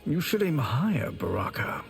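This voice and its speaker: An actor playing a cruel, self-serving sorcerer in fighting-game dialogue, suave, mocking and formal. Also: male voice, fairly high pitch, sing-song, normal speed, vocal fry, faint music behind.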